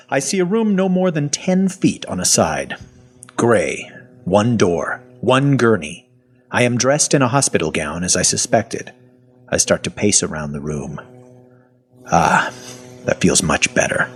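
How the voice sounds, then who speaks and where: An actor as a husky, sardonic zombie-story survivor. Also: male voice, fairly low pitch, full character voice. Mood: wry, then sarcastic. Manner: sardonic, conversational, expressive. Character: sarcastic, foul-mouthed man, part zombie, captive survivor. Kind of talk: first-person audio fiction narration.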